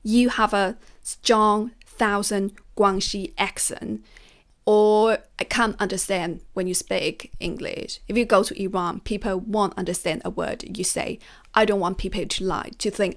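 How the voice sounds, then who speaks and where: A woman whose first language is Chinese, talking straight to her viewers in an earnest, encouraging way about learning languages. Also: medium pitch. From China. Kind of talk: personal vlog monologue.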